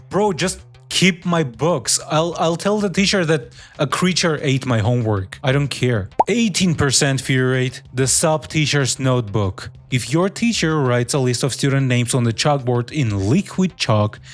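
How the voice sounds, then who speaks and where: A man hosting horror reactions, mixing scripted narration with casual commentary addressed straight to viewers. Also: fairly high pitch. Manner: informal, addresses viewers directly.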